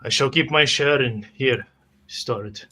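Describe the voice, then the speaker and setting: A man's voice: mid-range voice, slow, bright, forceful tone. A man improvising in character as a tabletop roleplay player, with polite, formal phrasing.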